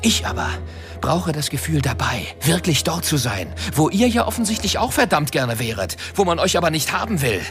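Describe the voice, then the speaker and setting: An actor playing a rebellious, sardonic earthling with a mission in a science fiction radio drama, speaking in a smug, theatrical manner. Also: male voice, on the high side, very animated, normal speed, fairly bright tone, soft background music. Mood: bitter, impassioned.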